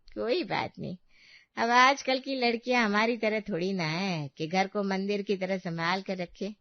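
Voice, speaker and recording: A woman's voice: mid-range voice, measured pace, dry recording, lightly acted. Woman acting a taunting meddlesome aunt, muffled dry sound. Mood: snide. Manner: pointed, mock-sympathetic. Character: meddling, taunting middle-aged aunt, sanctimonious and disapproving. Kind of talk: acted dialogue in a Hindi family story.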